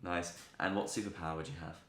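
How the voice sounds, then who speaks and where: A woman's voice: very deep voice, fairly even intonation, fast. A woman hosting a podcast in a casual interview style, recorded in a roomy space. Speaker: podcast host. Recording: echoey room with faint noise.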